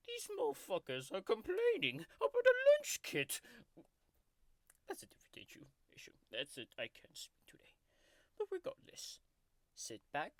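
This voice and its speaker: A woman's voice: fairly low pitch, dry recording, full character voice. A woman voicing a grumpy, foul-mouthed male tiger-like creature who whispers and mocks while reading a bedtime story as comedic ASMR. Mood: mocking storytelling. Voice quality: whispery, crude and mocking.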